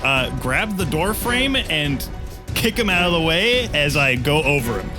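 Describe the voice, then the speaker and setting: Casual man role-playing over loud music. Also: a little high, very animated, a little slow. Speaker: tabletop role-play player. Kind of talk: casual improvised role-play.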